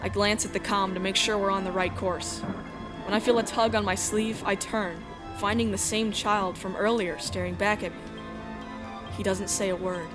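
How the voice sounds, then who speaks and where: A woman's voice: medium pitch, steady tone, normal speed, lightly acted. Earnest female princess lead, woman acting, mid-range first-person narration for a visual novel dub, music bed. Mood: suspenseful narration. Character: earnest exiled princess heroine narrating her adventure. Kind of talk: scripted first-person narration with acted dialogue.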